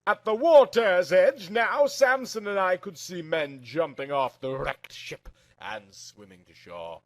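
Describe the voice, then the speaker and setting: Guy reading a story out loud, voice a bit high, really dramatic and emphatic. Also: lively intonation, a little slow. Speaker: narrator reading a story aloud. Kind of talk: dramatic first-person story narration.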